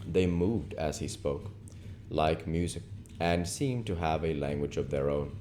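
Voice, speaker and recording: A man reads literary fiction aloud in a mellow, relaxed voice. His phrasing is measured and deliberate, dropping low as he narrates. Some noise is audible in the recording. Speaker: audiobook reader. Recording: noticeable background noise.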